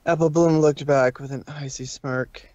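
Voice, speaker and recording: A man's voice: a little high, steady tone, normal speed, darkish tone, no room sound, dull audio. Man reading fan fiction aloud. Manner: plain reading with asides. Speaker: reader, narrator. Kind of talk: fan fiction narration on a stream.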